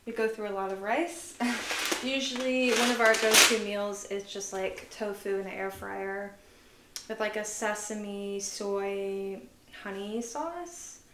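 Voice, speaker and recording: A woman vlogger chatting casually through a grocery haul, relaxed and full of fillers, sounding tired. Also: medium pitch, slow. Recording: echoey room with noise.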